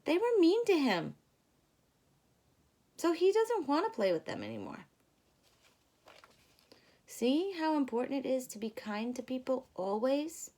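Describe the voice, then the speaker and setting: A woman doing an expressive read-aloud for young children, pausing to put questions to them in a teacherly way. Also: high-pitched. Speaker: storyteller reading a picture book to children. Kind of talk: animated read-aloud with asides to young listeners.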